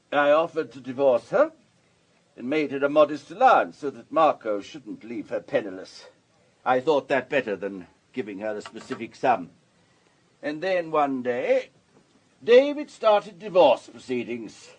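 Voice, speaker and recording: Husky, urbane wealthy older gentleman. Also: medium pitch, sing-song, measured pace, highs cut off. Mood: rueful, candid. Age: 60s. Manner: urbane, discursive, worldly. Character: wealthy older businessman, urbane, paternal ex-husband. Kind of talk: radio drama dialogue.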